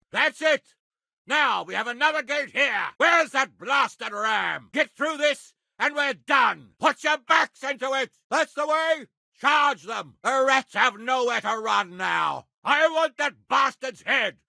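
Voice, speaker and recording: A man playing a blunt, hot-tempered middle-aged medieval lord in a video game, with a raspy, gravelly voice and an authoritative manner. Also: very high voice, lively intonation, takes it slowly, sharp tone, dry recording, studio quality, exaggerated voice. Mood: battle shouting. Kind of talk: video game character acting.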